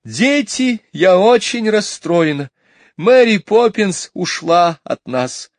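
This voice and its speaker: A man voicing a fussy, indignant mother in a storybook reading, in a high, shrill falsetto. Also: animated, slow pace, dry recording, clean studio sound. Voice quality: high falsetto, pitch far above his own. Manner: exclaiming, offended, scolding.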